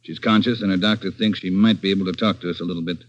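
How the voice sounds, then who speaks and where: An actor playing a brusque police captain who gives orders in a brisk, commanding way, in 1940s radio acting style. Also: male voice, sits low, steady tone, close to an everyday voice. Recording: dull old radio broadcast.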